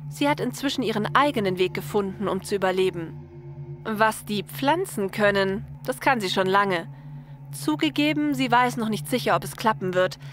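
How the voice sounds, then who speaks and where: A woman's voice: mid-range voice, background noise. A woman narrator reading a scripted story. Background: faint music.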